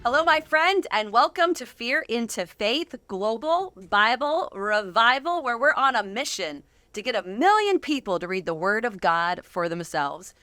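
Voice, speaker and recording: A woman's voice: on the high side, very animated, normal speed, few pauses, sharp tone, no room sound. A woman hosting a casual studio interview, speaking in an effusive, earnest way. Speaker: host.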